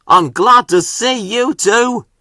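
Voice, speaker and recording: A man voicing a big, irritable male tender engine who craves quiet, in a children's storybook. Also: extremely high pitch, slow pace, no echo, studio quality, pitched above the performer's own voice, exaggerated voice. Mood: relieved. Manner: muttering, irritable.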